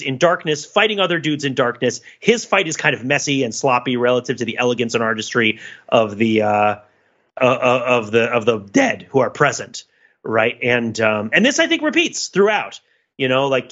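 A man's voice: medium pitch, bright, forceful tone, dull audio. A man co-hosting a podcast, talking through a film in a casual, analytical, rambling intellectual way. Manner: rambling, digressive, intellectual musing. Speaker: podcast co-host.

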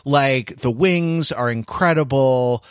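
Man, mid-pitched voice, podcast co-host, casual chat, very dry close recording. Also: slow pace, fairly bright tone, clear, resonant tone, dry recording.

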